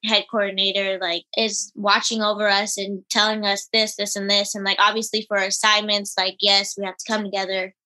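A woman in her twenties, an elite gymnast and interview guest, talking in a relaxed, giggly way with many fillers. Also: medium pitch, brisk, hardly any pauses. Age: 20s. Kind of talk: casual interview chat.

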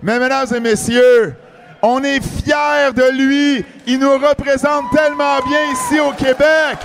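A man hosting a live podcast with a Québécois French accent, shouting energetic introductions of his guests. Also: extremely high pitch, a little slow, vocal fry, a faint crowd. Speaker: podcast host. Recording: long reverb of a live venue.

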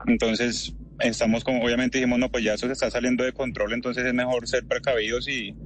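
Colombian man in his thirties, phone interview from a car, measured, filler-heavy, faint noise behind. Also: medium pitch, level tone. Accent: Colombian Spanish, lightly worn. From Colombia (Barranquilla). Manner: thoughtful, many fillers like "pues" and "o sea". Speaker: interviewee, translator in Ukraine. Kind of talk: phone interview while driving.

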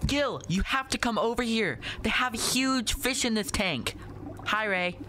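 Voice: mid-range voice, expressive, a little slow, pushed and bright. An actor playing an earnest, curious and stubborn little boy in a radio play, speaking in blunt, literal childlike answers over noisy ambience. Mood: excited. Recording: noisy outdoor ambience, boat sounds behind.

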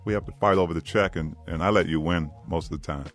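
A man with a low-pitched voice hosts a casual interview show. He talks informally and chummily, as if to a friend, with faint music in the recording. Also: fast. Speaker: podcast host and sports broadcaster.

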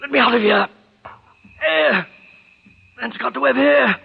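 A woman's voice: a little high, a little slow, gravelly, slightly rough, full character voice. An actress playing a frantic trapped woman gasping and crying for air in a 1940s radio horror drama. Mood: agonized, gasping. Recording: muffled vintage 1940s radio recording.

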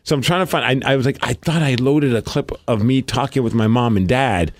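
A man in his thirties co-hosting a podcast, bantering in a casual, joking way with quick restarts. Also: medium pitch, no room sound, clean studio sound. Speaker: podcast co-host. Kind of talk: casual chat.

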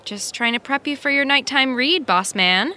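An actress playing a brisk, teasing, bossy female production assistant, punchy and no-nonsense, in a naturalistic audio drama. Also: high-pitched, lively intonation, pushed and bright.

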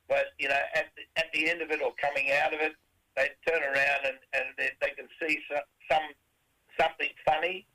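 A middle-aged Australian man with a raspy voice, a rock band singer answering interview questions in a rambling way, full of fillers and restarts. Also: on the high side, bright, forceful tone, rough recording.

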